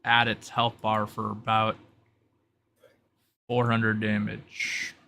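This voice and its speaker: A man narrating a tabletop roleplay session as game master, in a halting delivery with drawn-out pauses. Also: fairly low pitch, monotone, vocal fry, pushed and bright, slight background noise.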